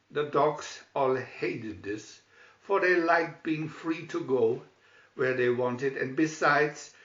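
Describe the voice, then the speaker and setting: Guy narrating a kids picture story, slow and deliberate, kinda muffled recording. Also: medium pitch, fairly bright tone. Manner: deliberate, announces each picture. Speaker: storybook reader. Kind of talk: scripted children's story narration. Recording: muffled, echoey room recording.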